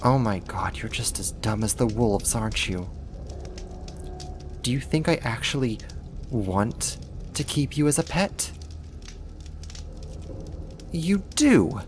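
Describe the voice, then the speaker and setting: A sadistic half-spider monster, acted out by a performer for an ASMR horror roleplay. The delivery is unhurried and theatrical, dripping with condescension toward a human captive. The pitch is moderate, with some background noise under the voice. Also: expressive. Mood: irritated, dismissive.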